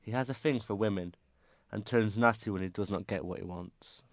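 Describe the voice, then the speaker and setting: A man playing a gossipy circus worker who is quick to retort, in an amateur radio drama. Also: fairly low pitch, dry recording, clean studio sound, natural voice. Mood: confiding.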